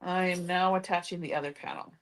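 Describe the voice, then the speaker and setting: A woman's voice: lowish voice, steady tone, fairly quick, low-quality recording. A woman co-hosting a live sewing session, chatting casually with playful teasing. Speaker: co-host sewing along. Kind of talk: casual live-stream chat.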